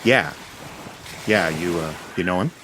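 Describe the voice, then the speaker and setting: Man as lowish casual gumshoe, noisy backdrop. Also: measured pace. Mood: casual, dogged. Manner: casual, plain-spoken. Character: casual, persistent male detective's legman questioning. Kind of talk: old time radio acting. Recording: clear background noise.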